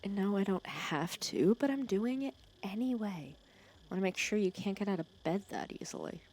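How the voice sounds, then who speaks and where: A woman playing a protective, teasing female villain who scolds and cares for her hero nemesis in an ASMR roleplay, sarcastic and often dropping to a hush. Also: medium pitch, expressive, normal speed, close to an everyday voice. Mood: teasing, caring. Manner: scolding yet caring, sarcastic, dropping into hushed breathy asides. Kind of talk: ASMR roleplay audio drama.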